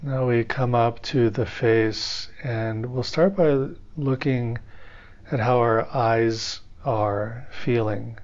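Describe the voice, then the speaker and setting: A man teaching a guided meditation, giving step-by-step instructions in an unhurried way with pauses. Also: mid-range voice, steady tone, a little mellow. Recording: slightly dull, band-limited audio.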